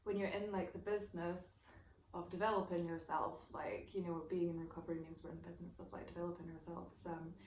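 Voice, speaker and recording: A woman teaching a gentle voice and breathing lesson, talking softly and reflectively with many fillers, her voice low with morning. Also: flat delivery, fast, slight background noise. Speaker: singing and voice teacher. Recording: echoey room, mic at a distance.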